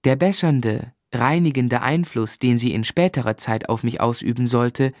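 A male narrator of a radio drama, played by an actor, looking back on his boyhood. His delivery is measured and literary, at a medium pitch, recorded very dry and close. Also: no room sound, close to an everyday voice. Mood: reflective, measured. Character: adult man reflectively recounting his boyhood.

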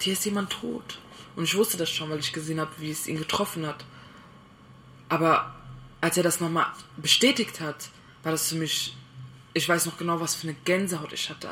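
Bright-voiced male eyewitness, slightly noisy recording. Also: a little high. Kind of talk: personal testimony, spontaneous recollection.

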